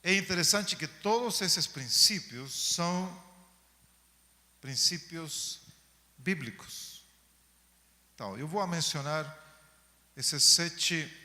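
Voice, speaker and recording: A man from Chile with a Chilean Spanish accent speaking in a second language, giving an academic talk to students, unhurried, pausing often and tagging phrases with "não?". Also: on the high side, animated, sharp tone. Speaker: guest lecturer. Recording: long hall reverb.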